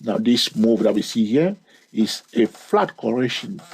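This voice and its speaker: A man's voice: mid-range voice, expressive, measured pace, gravelly, a little mellow, husky. A man hosting his channel, walking through a chart lesson, stretching key words and repeating counts. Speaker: trading educator and channel host. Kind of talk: screen-recorded chart lesson.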